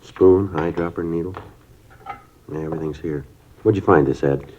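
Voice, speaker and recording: An actor playing a terse police detective who narrates in a clipped, deadpan, matter-of-fact manner, in a 1950s radio drama. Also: male voice, low voice, moderate pace, a little hiss or hum, close to an everyday voice. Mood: calm, steady. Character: terse male police detective, middle-aged. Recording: dull lo-fi 1950s radio broadcast.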